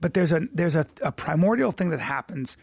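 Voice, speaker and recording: Man, 40s, high voice, pompous mock-grand teasing, dry studio sound. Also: animated, a little fast, creaky, a little mellow, breathy edge, no echo. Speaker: podcast co-host. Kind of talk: casual comedic banter.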